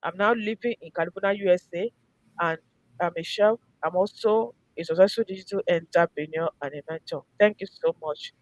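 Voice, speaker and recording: Nigerian woman giving an earnest pitch, dry sound. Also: a little fast, no echo, lo-fi sound. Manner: earnest, exhortatory, repeats phrases for emphasis. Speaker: host, mentor. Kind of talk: promotional live pitch to viewers.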